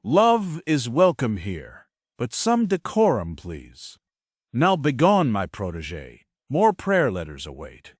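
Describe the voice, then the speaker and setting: A man's voice: medium pitch, huge pitch swings, no echo, clean studio sound, heavily acted. Man as grand, sweeping theatrical God. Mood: gently commanding. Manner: theatrical, solemn, measured. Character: grand, benevolent yet stern God. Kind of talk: stage script table read.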